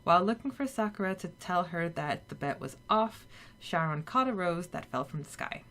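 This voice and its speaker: A woman's voice: fairly low pitch, animated, normal speed, fairly bright tone. A woman hosting a podcast, chatting with fillers and then reading a summary steadily. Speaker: podcast co-host. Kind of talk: casual chat and read chapter summary.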